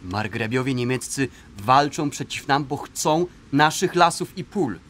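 A man's voice: mid-range voice, lively intonation, unhurried, fairly bright tone, a little hiss or hum. A man acting in a radio play as a prince's weary, reasonable and caring brother, earnest in manner. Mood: weary pleading.

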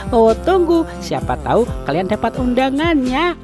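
A woman voicing a cheerful young boy who chats with the viewers in an animated cartoon. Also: high-pitched, unhurried, over music. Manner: bubbly, giggly, talks to viewers. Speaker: host character.

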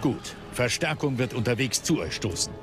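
An actor playing a resolute, commanding Jedi master in an audio drama, terse and authoritative. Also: male voice, medium pitch, animated, moderate pace, loud music behind the voice, natural voice. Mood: calm, grave.

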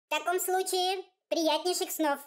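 A woman voicing a tiny, self-important male envoy from a storybook, with a piercing squeaky voice and formal, rapid patter. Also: steady tone. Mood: conciliatory, bargaining. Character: tiny pompous bearded male envoy of the dream king. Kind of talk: storybook character voice.

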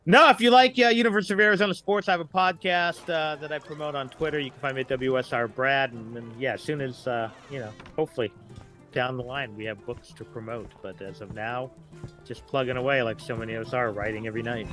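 A man's voice: medium pitch, fast, sharp tone, faint music behind. A middle-aged man talking casually and discursively as a podcast guest.

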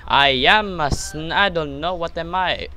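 A young man casually commenting while playing a social VR game, joking, laughing and breaking into loud outbursts. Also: on the high side, measured pace, a little hiss or hum. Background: game music.

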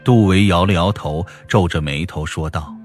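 A man narrating a fiction audiobook in an expressive, dramatic storyteller manner. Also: low-pitched, moderate pace, faint music behind.

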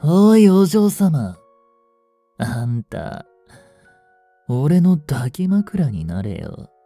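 A man acts out a young kidnapper from a romance audio drama, bossy and hungry for money. His mellow voice moves through wide swings of pitch as he taunts in rough, casual speech. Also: sing-song, drawn-out words, no echo, soft background music, full character voice. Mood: tipsy, bossy.

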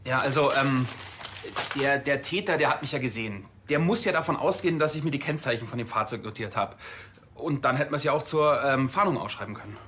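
A man's voice: mid-range voice, fairly quick, a bit bright, close to an everyday voice. An actor playing an eager, bumbling policeman who hesitates and over-explains in a TV crime comedy. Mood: flustered. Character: eager, awkward, bumbling young male policeman.

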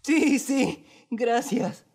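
A woman's voice: fairly high pitch, lively intonation, very slow, a little mellow, heavily acted. A woman voicing an earnest, easily exasperated teenage boy in an amateur cartoon dub, with a Latin American accent. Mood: dejected.

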